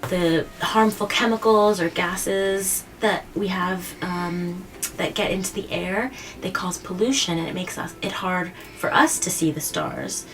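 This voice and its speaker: Woman explaining gently, slightly distant mic. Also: lowish voice, unhurried, few pauses. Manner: gently didactic, often checking with questions. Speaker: host of a children's story read-aloud show. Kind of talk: picture-book reading and simple explanations to a child. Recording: distant mic, faint background noise.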